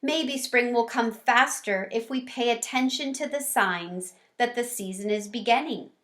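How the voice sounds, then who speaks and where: A woman's voice: fairly high pitch, a little slow, fairly bright tone. A woman voicing a kind, patient female schoolteacher who explains things attentively in a storybook. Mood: instructive.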